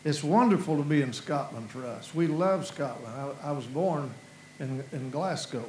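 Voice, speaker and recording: A man with a Southern American accent preaching a sermon in a folksy, rambling way, restarting phrases and filling pauses. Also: on the high side, a little mellow, slight background noise. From Southern United States. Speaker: guest preacher. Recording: echoey hall with faint ambience.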